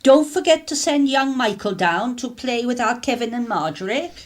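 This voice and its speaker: A woman's voice: a little high, expressive, normal speed, background noise, natural voice. A woman playing a nosy, self-satisfied English neighbour, breezily generous, in a radio play. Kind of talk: British radio play acting.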